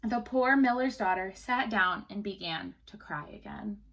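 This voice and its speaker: A woman teacher reading a storybook aloud to children, pausing to explain things simply and ask questions. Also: mid-range voice, lively intonation, fairly bright tone, low-quality recording.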